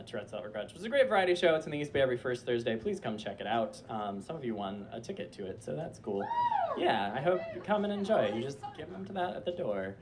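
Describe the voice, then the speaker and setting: A man's voice: fairly low pitch, a little hiss or hum. Chatty young man telling a story on stage. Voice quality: voice like a disappointed mom, per a friend. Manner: chatty, lots of filler likes, frequent laughter. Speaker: storyteller performer. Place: small club stage before a live audience.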